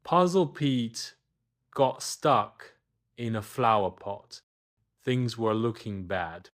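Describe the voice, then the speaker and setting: A man narrating a scripted children's bedtime story, expressive and deliberate, with dramatic pauses. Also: mid-range voice.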